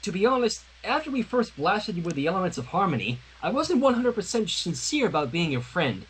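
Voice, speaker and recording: A man's voice: high-pitched, big pitch swings, brisk, fairly bright tone, husky, heavily acted, rough recording. A man voicing a flustered young pony princess in human form, rambling anxiously and earnestly in a dramatic fanfiction reading. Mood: nervous, earnest. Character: flustered young female pony princess turned human, nervously confessing love.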